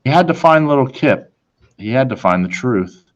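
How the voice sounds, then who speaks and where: A man reading a children's storybook aloud in a dramatic manner, with deliberate pauses. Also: medium pitch, expressive, highs cut off. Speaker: storybook reader.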